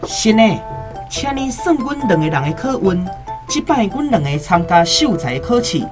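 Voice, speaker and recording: A woman plays an eager young scholar in a radio play, impatient and insistent. The delivery swings widely in pitch, in a Taiwanese Hokkien accent, over faint music. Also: sing-song, normal speed, close to an everyday voice. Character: eager, impatient young male scholar awaiting exam results. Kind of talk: radio drama acting.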